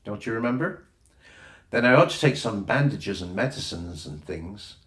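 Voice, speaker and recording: A man's voice: on the low side, expressive, normal speed, fairly bright tone. Man reading as confident boy captain, echoey. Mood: decisive. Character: confident young boy captain planning an expedition. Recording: roomy echo on the voice.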